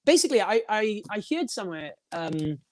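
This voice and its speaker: A young man with a high voice, a band singer talking in a casual podcast interview, rambling with many restarts and little laughs. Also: big pitch swings, brisk, a little mellow. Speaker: guest, band frontman and vocalist.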